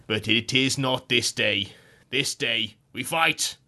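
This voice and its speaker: A man's voice: fairly low pitch, unhurried, gravelly, bright, forceful tone, heavily acted. A man voicing a hypnotised man who believes he is a king and delivers rousing, bellowing battle speeches.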